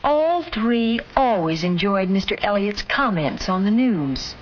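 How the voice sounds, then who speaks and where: A woman's voice: medium pitch, sing-song, a little slow, a little hiss or hum. A woman reading scripted narration for a 1950s educational film, addressing the listener directly in an admonishing way. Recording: dull vintage film soundtrack with music. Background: film score music.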